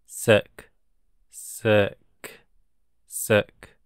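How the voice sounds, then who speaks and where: A man's voice: very slow, no echo, studio quality. A man teaching English words for illness, saying each word three times and then each sentence word by word. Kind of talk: slow drilled vocabulary reading.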